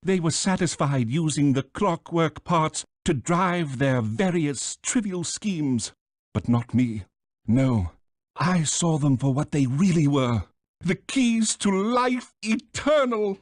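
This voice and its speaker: A man's voice: fairly high pitch, lively intonation, slow pace, no echo. A man playing a pompous, condescending, scheming small bird villain with a British accent in a video game, speaking theatrically. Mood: gloating.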